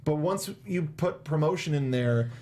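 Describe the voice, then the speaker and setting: A man's voice: on the high side, lively intonation, moderate pace, mellow. A young American man co-hosting a movie review show in casual, conversational style on a close studio microphone. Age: young adult. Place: small indoor studio. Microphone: close studio microphone with foam windscreen.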